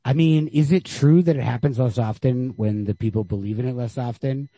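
A man talking through a book in casual discussion, halting and peppering his thoughts with "like". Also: medium pitch, warm tone. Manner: halting, filler-heavy with frequent "like", casual swearing. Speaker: podcast host discussing short stories.

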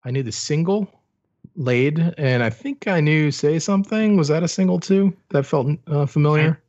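A man's voice: on the high side, normal speed, darkish tone. A man chatting casually as a podcast co-host. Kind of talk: casual chat. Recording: dull, lo-fi but dry.

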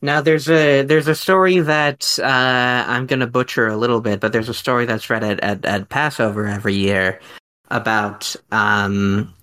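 Man with a lowish voice, thinking aloud. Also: fairly bright tone. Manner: halting, rambling, thinking aloud. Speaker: podcast host. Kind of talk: casual mock-scholarly discussion.

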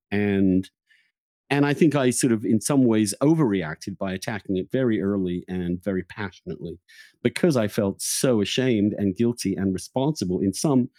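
A man hosting a reflective intellectual conversation, halting and searching for words, often repeating himself mid-phrase. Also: lowish voice, dark tone, dry recording. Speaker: podcast host.

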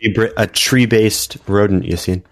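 A man on a podcast panel, speaking earnestly and in a measured way, with frequent fillers. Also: on the low side, steady tone, slight background noise. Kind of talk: reflective discussion.

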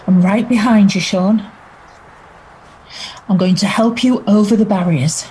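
Woman playing a slow, steady female detective. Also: mid-range voice, slight background noise, lightly acted, low-quality recording. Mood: calm, coaxing. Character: calm, steady, persuasive female detective inspector. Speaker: actor playing a detective inspector. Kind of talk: audio drama acting.

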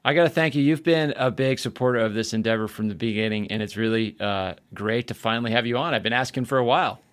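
A man's voice: medium pitch, fast talker, no room sound, studio quality. A man hosting a podcast, introducing his guest in an upbeat, chatty way with many fillers. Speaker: podcast host. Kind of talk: casual podcast interview.

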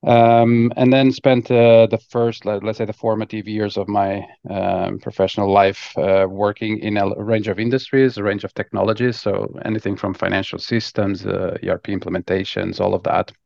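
A man's voice: on the low side, flat delivery, normal speed, gravelly, hardly any pauses, a little mellow, no echo. A man, talking casually as an interview guest on dull, dry lo-fi audio. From Lisbon, Portugal. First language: Portuguese. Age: 40s. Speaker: podcast guest, technology executive.

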